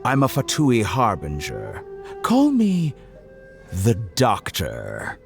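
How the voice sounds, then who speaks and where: An actor playing a cold, scheming male scientist villain who speaks in a smooth, coolly mocking way. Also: medium pitch, huge pitch swings, takes it slowly, over music, exaggerated voice. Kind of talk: game cutscene voice acting.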